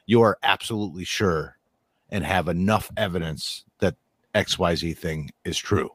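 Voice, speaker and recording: Man, lowish pitch, casual and rambling, dry recording. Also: moderate pace, slightly rough, dry recording. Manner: rambling, restarts often, says "like" a lot. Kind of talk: casual conversation.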